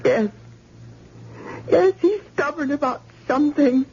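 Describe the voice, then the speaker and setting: A woman's voice: high-pitched, lively intonation, takes it slowly, darkish tone, slight background noise, full character voice. An actress playing a frightened young wife pleading about her injured husband, in an anxious, tearful manner in old-time radio drama style. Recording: dull lo-fi old radio recording.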